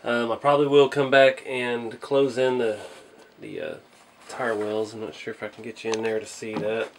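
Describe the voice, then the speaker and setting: A man talks through a hands-on hobby project in a casual vlog style. He sounds unassuming and a little hesitant, working out his thoughts aloud as he goes. His pitch sits in an ordinary middle range for a man. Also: steady tone, normal speed, slight background noise. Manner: modest, hesitant, thinking aloud. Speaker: hobby channel host.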